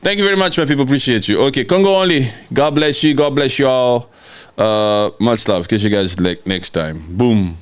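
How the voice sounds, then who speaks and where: A man's voice: mid-range voice, moderate pace. A man hosting a casual livestream, reading out viewer comments and answering them in a brash, mocking, joking way, often breaking into laughter. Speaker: livestream host.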